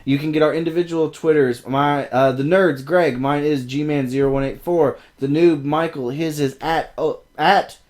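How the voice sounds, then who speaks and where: A man co-hosting a podcast in casual chat, sarcastic and teasing, with loud outbursts. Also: a little high, fairly even intonation, moderate pace, few pauses. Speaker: podcast co-host.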